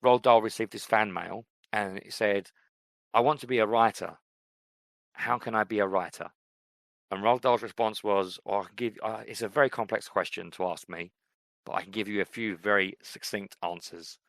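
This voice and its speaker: A man's voice: fairly low pitch, steady tone, normal speed, vocal fry, fairly bright tone, no echo. A man co-hosting a casual podcast, talking through books and writing in a thoughtful, rambling way, with many fillers and restarts. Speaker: podcast co-host. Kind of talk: casual chat about books and fiction.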